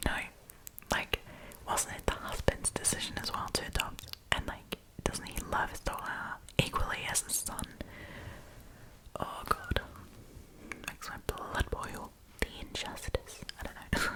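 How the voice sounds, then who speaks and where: A woman's voice: a little slow, rough recording. Whispering woman, chatty ASMR storyteller. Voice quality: breathy unvoiced whisper. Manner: chatty, opinionated, informal with swearing. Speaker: host reading Reddit stories.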